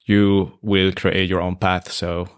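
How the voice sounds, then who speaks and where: A deep-voiced man talks through his career at an easy pace. His voice is low and gravelly, full of "uh" and restarts. The sound is dry and close. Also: slow pace, dry recording. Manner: relaxed, many fillers and restarts. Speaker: hotel operations expert, guest. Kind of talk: casual interview conversation.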